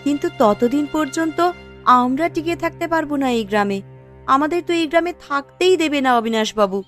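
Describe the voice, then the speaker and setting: A woman acts out a poor, distressed village wife for a Bengali cartoon. Her fairly high voice rises and falls expressively in plaintive complaint over soft music. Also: expressive, brisk. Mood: despairing. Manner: plaintive, aggrieved. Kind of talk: Bengali cartoon story acting.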